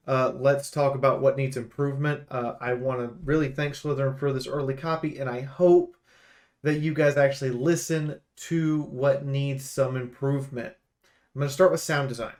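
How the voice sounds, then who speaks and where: High-voiced man, emphatic gaming commentator. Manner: emphatic, sweary, rambling. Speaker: YouTube host and game reviewer. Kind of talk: casual, opinionated game preview commentary.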